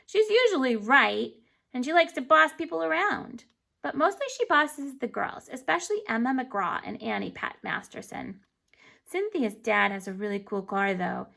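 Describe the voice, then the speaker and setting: A woman reading a children's chapter book aloud in an animated, emphatic way, stumbling over words now and then. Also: high voice, moderate pace, gravelly. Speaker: storybook reader.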